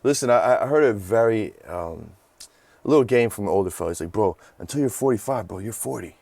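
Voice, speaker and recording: Slangy, effusive man in his thirties, low-voiced. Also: lively intonation, a little fast, creaky, a little mellow, no room sound. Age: 30s. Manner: slangy, effusive, peppers talk with "bro" and "man". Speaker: podcast host and interviewer. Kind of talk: casual interview chat.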